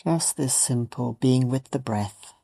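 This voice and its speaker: A meditation teacher gently leading a guided meditation in a soothing, unhurried way with long pauses. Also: medium pitch, gravelly. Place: meditation retreat.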